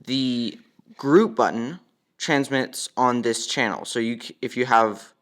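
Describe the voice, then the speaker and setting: A man's voice: medium pitch, takes it slowly, fairly bright tone. A young man giving a casual, matter-of-fact walkthrough as he demonstrates a product.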